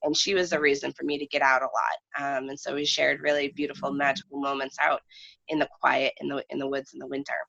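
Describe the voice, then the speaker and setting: A woman shares a personal story in conversation. Her voice is deep for a woman and gravelly with fry, and she speaks haltingly and reflectively. The recording is somewhat muffled and lo-fi. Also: level tone, sharp tone. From United States, lives in New England. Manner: halting, reflective, trailing phrases. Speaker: guest storyteller. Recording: dull, roomy lo-fi recording.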